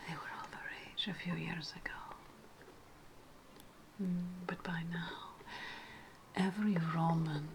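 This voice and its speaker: A woman's voice: fairly low pitch, steady tone, background noise. Woman playing a sly whispering Roman witch. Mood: disdainful, conspiratorial. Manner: slow, deliberate, repeating phrases, lingering hums. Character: sly, menacing ancient Roman witch hostess. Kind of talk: whispered ASMR roleplay.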